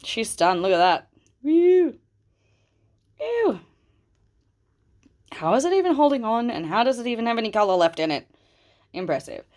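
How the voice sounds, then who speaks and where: A woman's voice: fairly high pitch, very animated, moderate pace, long pauses, darkish tone. A woman with a stuffy, snuffly voice from a cold, chatting casually at home as she shows her plants. She rambles, recorded on her phone camera. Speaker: plant vlogger. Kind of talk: casual chat while handling plants. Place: at home indoors.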